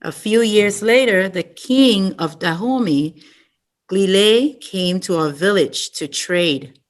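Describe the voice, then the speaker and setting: A woman reading a story aloud at story time, slow and careful. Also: mid-range voice. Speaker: storytime reader. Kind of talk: reading a picture book aloud.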